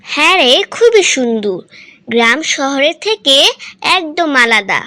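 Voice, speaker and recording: A woman's voice: high-pitched, sing-song, measured pace, sharp tone, no echo, clean studio sound, full character voice. A woman voicing a cheerful cartoon schoolkid. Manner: cheerful, encouraging. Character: cheerful school friend, excited about holidays. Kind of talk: cartoon voice acting.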